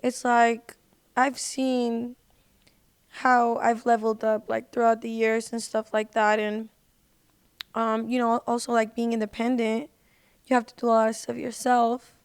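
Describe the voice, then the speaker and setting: A woman with a fairly high, very clear and smooth voice talks casually as a podcast guest. Her delivery is earnest and conversational, with little rise and fall in pitch. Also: completely flat delivery, measured pace. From United States. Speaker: podcast guest, singer and social media personality.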